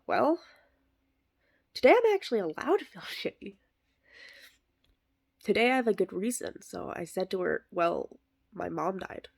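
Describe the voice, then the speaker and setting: A woman voicing a bitter, sardonic middle-aged male horse actor, delivering a comic eulogy monologue in a deadpan, wry way. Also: fairly low pitch, measured pace, creaky, exaggerated voice. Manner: deadpan, self-deprecating, wry.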